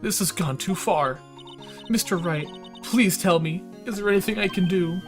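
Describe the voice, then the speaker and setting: A man voicing an earnest, spirited young woman from a game, excitable and eager. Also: high-pitched, moderate pace, soft background music, voice pushed up, close to an everyday voice. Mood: upset, pleading.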